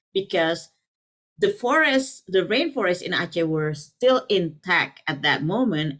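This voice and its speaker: A woman's voice: low-pitched, a bit bright. A middle-aged woman from Indonesia speaking at a panel, rambling with many fillers and restarts. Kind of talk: casual conference talk.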